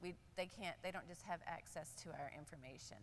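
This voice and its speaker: An older woman, a nurse speaking on a conference panel, candid and animated, with many fillers. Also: fairly low pitch, a little fast, in a large hall, a little hiss or hum. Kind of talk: panel discussion. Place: large conference hall stage. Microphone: lapel.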